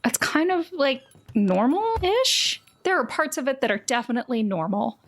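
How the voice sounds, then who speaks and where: A woman voicing a casual, defensive but friendly teenage girl in a tabletop game, with halting informal talk. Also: high voice, very animated, pitched above the performer's own voice.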